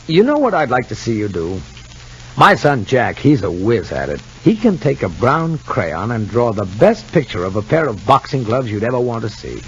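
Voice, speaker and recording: An actor playing a kindly, patient doctor in a 1950 radio soap opera, gently coaxing and encouraging in a folksy way. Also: male voice, medium pitch, big pitch swings, normal speed. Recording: muffled, noisy 1950 radio recording.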